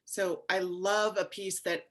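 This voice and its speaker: A woman giving casual, thoughtful comments in a measured way, searching for her words. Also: mid-range voice, flat delivery. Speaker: actor in an audio drama.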